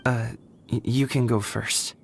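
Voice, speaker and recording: A woman's voice: extremely low pitch, fairly even intonation, measured pace, gravelly, long reverb tail, slight background noise, full character voice. A woman voicing a hesitant, stammering young daughter in a video game. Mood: uneasy. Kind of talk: game voice acting.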